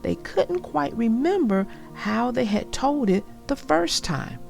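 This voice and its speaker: A woman reading a children's story aloud and talking to young listeners in an expressive, deliberate, instructive way. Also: on the high side, creaky, faint music behind.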